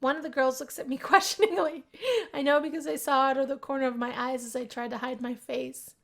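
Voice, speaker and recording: A woman reading first-person visual novel narration aloud as an expressive storyteller. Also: sits high, brisk, creaky. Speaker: gaming video host, narrator.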